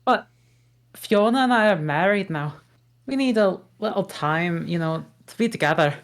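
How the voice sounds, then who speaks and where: A woman's voice: fairly low pitch, animated, moderate pace. A woman voicing a grumpy, sarcastic male ogre at a table read of a film script. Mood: impatient. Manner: gruff, sarcastic.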